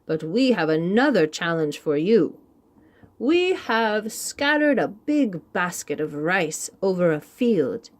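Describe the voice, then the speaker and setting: A woman voicing a stern, commanding male village chief in a storybook, with measured delivery. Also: fairly low pitch, very animated. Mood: grave. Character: stern village chief, commanding man setting hard tasks.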